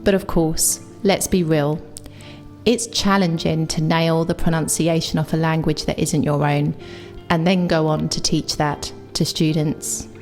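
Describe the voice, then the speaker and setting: A woman with a London accent, an English teacher delivering a scripted, reflective video essay with clear opinions. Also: low voice, flat delivery, a little slow, darkish tone, soft background music. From England.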